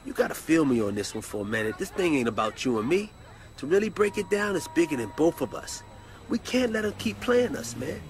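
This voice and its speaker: An actor playing a streetwise hip-hop label boss in a video game, slangy, preachy and persuasive. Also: male voice, fairly high pitch, sing-song, a little fast, slight background noise. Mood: earnest, persuasive. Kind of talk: video game cutscene acting.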